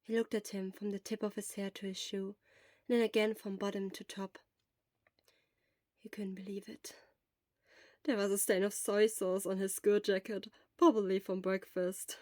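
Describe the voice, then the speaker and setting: A woman's voice: mid-range voice, flat delivery, normal speed, a little mellow, dry recording, studio quality. A woman reading scripted fiction aloud as the narrator. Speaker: podfic narrator.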